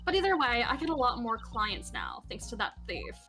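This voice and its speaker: A woman voicing a giggly, teasing young female lawyer with a sharp tongue, speaking breezily in a fan game dub. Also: a little high, wildly swooping pitch, normal speed, faint music behind, heavily acted.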